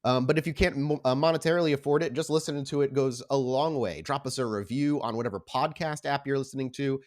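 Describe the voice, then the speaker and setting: A man's voice: medium pitch, quick pace. A man speaking informally as the host and storyteller of a tabletop roleplay podcast, making casual announcements to listeners. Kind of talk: casual show announcements and tabletop game narration.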